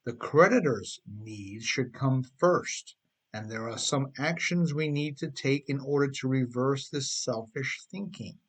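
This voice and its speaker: Man, husky voice, unhurried pace, audiobook narration, conversational, emphatic phrasing. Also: mid-range voice. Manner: conversational, rhetorical questions, emphatic stresses. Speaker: author reading own book.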